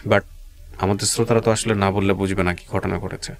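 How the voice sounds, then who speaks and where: A male radio host with a Bangladeshi accent and a fairly low voice. He speaks quickly and conversationally, prompting his guest with brief questions and small acknowledgments. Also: little pitch movement, creaky. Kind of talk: conversational interviewing.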